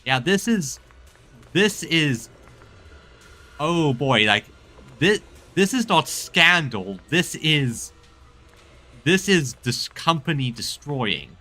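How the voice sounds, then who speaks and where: Male game streamer, lively and bright, on the higher side, reads dialogue aloud and reacts, music underneath. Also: slow pace.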